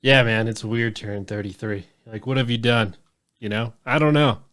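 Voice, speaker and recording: A man in his thirties chatting on a podcast at a middle pitch. He rambles loosely, circles back on himself and drops curse words without a second thought. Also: fairly even intonation, moderate pace, fairly bright tone. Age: 30s. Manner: loose and rambling, swears freely. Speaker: podcast host. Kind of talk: casual podcast chat.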